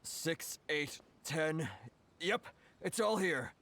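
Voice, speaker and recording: A man's voice: on the high side, lively intonation, extremely slow, full character voice. A man voicing a timid, deferential, grateful merchant in an anime video game. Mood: relieved, grateful. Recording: game audio with clear background noise.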